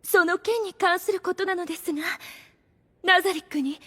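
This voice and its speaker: A woman's voice: extremely high pitch, normal speed, pushed and bright. A woman playing a devoted, scheming royal retainer with refined, formal speech in an anime audio drama. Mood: flustered, deferential.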